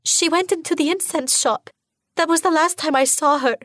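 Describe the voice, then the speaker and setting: A woman's voice: sits high, moderate pace, a bit bright, dry recording, clean studio sound, voice pushed up. A woman voicing a frightened young princess who pleads in a quivering voice. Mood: pleading, fearful. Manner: quivering, begging.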